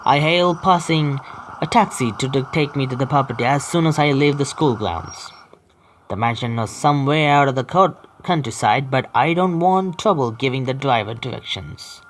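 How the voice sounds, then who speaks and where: A man hosting a gaming video, reading story text aloud haltingly with stumbles and adding casual asides. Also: medium pitch, slight background noise. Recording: faint game music behind. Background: faint video game music.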